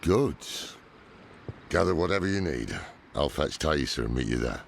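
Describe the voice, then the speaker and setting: Very deep gravelly old demon hunter. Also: male voice, animated, moderate pace, a little hiss or hum, full character voice. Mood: stern. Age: older. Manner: terse, grave. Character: weary, grim old male demon-hunting scholar. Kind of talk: dramatic video game dialogue. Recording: loud cinematic game music behind voice.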